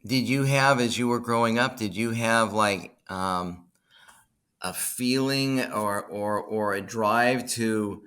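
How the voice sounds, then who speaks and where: A man hosting an interview podcast, speaking off the cuff and haltingly, often repeating words and restarting phrases. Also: on the low side, fairly bright tone, clean tone. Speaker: podcast host, bookstore owner. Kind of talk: unscripted podcast introduction and interview.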